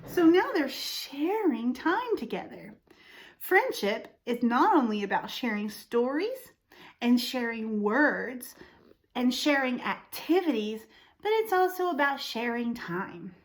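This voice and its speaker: A woman reading a picture book aloud to young children, pausing to explain things simply and ask questions. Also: on the high side. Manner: expressive, asks the listener questions, explains simply. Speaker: storytime reader for young children.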